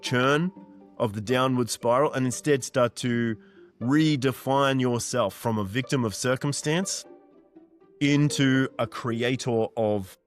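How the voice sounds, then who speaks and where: A man's voice: mid-range voice, slow pace, soft background music. A man in his forties talking earnestly and directly to the listener as a mindset coach, pressing points through repetition. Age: 40s. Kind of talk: motivational monologue.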